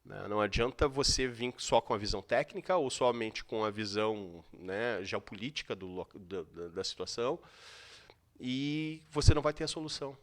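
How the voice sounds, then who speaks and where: A man's voice: fairly low pitch, normal speed. Reflective man explaining. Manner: reflective, restarts, rhetorical questions. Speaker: guest expert. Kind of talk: explanatory interview talk.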